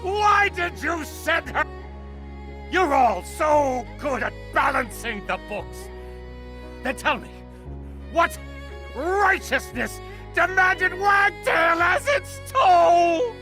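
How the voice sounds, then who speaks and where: Casting: an aging game master-teacher, slow and grieving, bitter, swinging wildly in pitch. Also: animated, sharp tone, soft background music, exaggerated voice. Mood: anguished, accusing. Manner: theatrical, impassioned, bitterly rhetorical. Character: aging, grief-stricken master mourning lost disciples, bitterly defiant. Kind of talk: dramatic video game acting.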